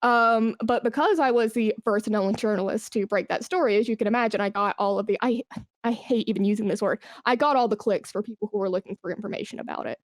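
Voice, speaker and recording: A woman's voice: medium pitch, fast, no echo, studio quality. A woman speaking as a podcast guest in a casual interview, telling things candidly and informally. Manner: informal, candid storytelling peppered with "like". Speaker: podcast guest, esports brand manager.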